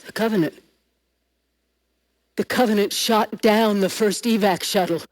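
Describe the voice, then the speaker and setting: A woman playing a flustered female scientist in a video game, speaking in a shaken, halting way. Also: mid-range voice, fairly even intonation, lightly acted. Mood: shaken, anxious.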